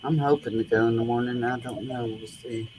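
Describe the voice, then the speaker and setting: A woman's voice: very deep voice, fairly even intonation, fairly quick, darkish tone, slight background noise, rough recording. Folksy middle-aged grandmother chatting. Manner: homey, rambling, folksy grammar. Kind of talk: casual chat.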